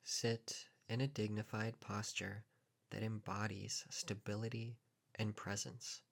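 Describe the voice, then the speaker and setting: A man with a fairly low voice narrates a guided meditation. He speaks calmly and deliberately, in short phrases set apart by long pauses. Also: creaky.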